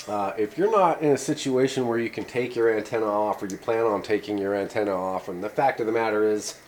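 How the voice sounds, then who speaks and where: A man's voice: medium pitch, a little mellow, a little hiss or hum. A man in a home workshop giving a rambling, casual how-to talk with many fillers. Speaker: channel host demonstrating a repair.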